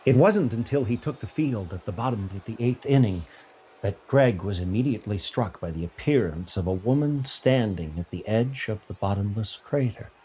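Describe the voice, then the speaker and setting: A man's voice: on the low side, expressive, normal speed, warm tone, breathy edge, low-quality recording. A man narrating a scripted short story as a literary reader. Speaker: fiction reader. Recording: music bed behind voice.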